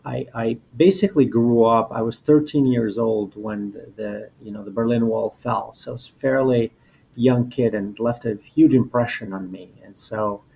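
A man in his forties from Albania, a native Albanian speaker, talks conversationally about himself as an interview guest. His pitch is middling for a man, and he tends to restart and repeat words. There is noticeable noise behind his voice. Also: fairly even intonation, moderate pace, creaky, dark tone. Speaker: interview guest, corporate lawyer. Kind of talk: conversational personal storytelling.

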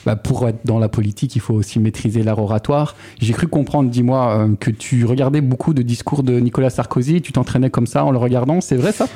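A man's voice: medium pitch, a little fast, few pauses, mellow. A man with a Belgian French accent hosting a business podcast, asking casual, informal questions. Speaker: podcast host. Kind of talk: conversational interview questions.

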